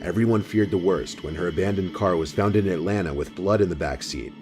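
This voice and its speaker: A man reading a scripted documentary narration in a steady, even storytelling manner. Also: low-pitched, a little fast. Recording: voice-over with clear music behind.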